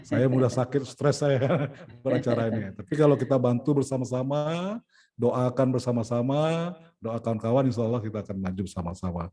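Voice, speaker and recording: A man's voice: medium pitch, a little fast, dark tone. A middle-aged man from Indonesia, an event organizer and poet, explaining plans step by step in a meeting. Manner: explaining logistics step by step, frequent "nah" and "ya". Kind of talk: planning meeting talk.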